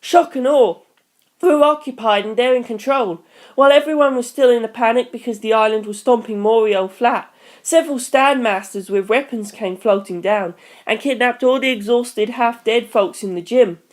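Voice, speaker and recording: Reader putting on eager friendly teen boy sidekick, voice pushed up high, action audiobook. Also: normal speed, natural voice. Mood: alarmed.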